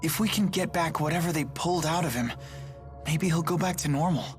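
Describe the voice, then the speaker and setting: A man's voice: fairly high pitch, fairly quick, lightly acted. A man playing an earnest, caring teenage boy in a game, with a questioning manner. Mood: earnest, puzzled. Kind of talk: English game dub acting. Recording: loud game music behind.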